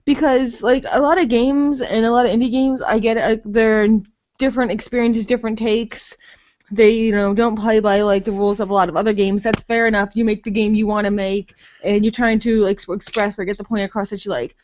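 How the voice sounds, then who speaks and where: A woman's voice: mid-range voice, steady tone, brisk, darkish tone, muffled recording. A woman chatting casually and bluntly as a podcast reviewer, rambling, with plenty of "like" and some swearing. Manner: rambling, heavy use of "like"; blunt, profane. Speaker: podcast host reviewing games. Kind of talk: casual unscripted game review chat.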